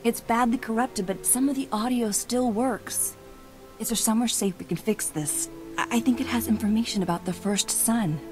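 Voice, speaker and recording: A woman's voice: on the high side, moderate pace, over music, natural voice. A woman playing an earnest, eager young Jedi apprentice in a video game cutscene. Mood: reassuring, earnest. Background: loud game score.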